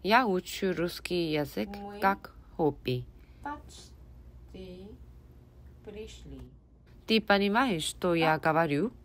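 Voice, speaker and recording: A woman's voice: lowish voice, expressive, slow. A young woman with a Korean accent reading aloud carefully, word by word, as an ASMR practice session at a desk at home. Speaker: ASMR creator.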